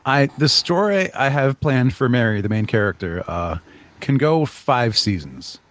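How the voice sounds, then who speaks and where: A man's voice: mid-range voice, very animated, unhurried, a bit bright. A man being interviewed as a creator, talking casually and explaining things in an earnest way. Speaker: guest, audio drama creator.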